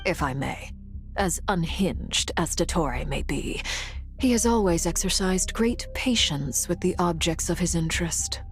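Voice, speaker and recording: A woman's voice: lowish voice, lively intonation, soft background music. A woman playing a composed, formal, shrewd strategist in a fantasy video game. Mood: cool, shrewd. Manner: measured, formal.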